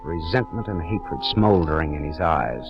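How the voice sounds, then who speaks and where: An actor playing a wry, world-weary private detective in a 1940s radio noir drama, with clipped, dramatic narration. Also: male voice, extremely low pitch, expressive, moderate pace. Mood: tense narrating. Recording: dull 1940s radio recording, music behind.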